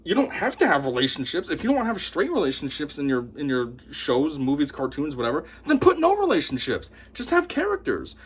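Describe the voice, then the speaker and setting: A man with a slightly high, husky voice speaks in an opinionated monologue to camera. His delivery swings widely up and down as he talks scornfully and energetically. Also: fairly quick, hardly any pauses. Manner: animated, scornful, mocks opponents in put-on voices. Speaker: YouTube commentator.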